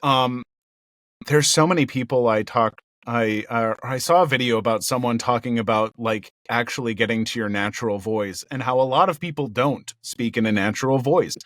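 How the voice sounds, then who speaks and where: Cheerful man with a gravelly undertone. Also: medium pitch, normal speed, no room sound, clean studio sound. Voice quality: speaks in a raised register with a gravelly undertone. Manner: cheerful and excitable, laughs often. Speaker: voice actor guest. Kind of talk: casual interview chat. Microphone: headphones and a home recording mic.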